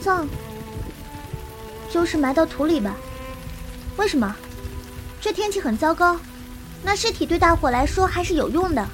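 A woman's voice: extremely high pitch, animated, normal speed, pauses often, soft background music. A woman playing a fierce, blunt young woman raised by wolves in a Chinese period audio drama. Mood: puzzled, blunt. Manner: blunt, questioning.

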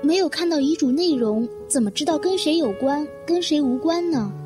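A woman's voice: high voice, animated, normal speed. A woman playing a composed young secretary in an audio drama, polite and lightly teasing. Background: background music.

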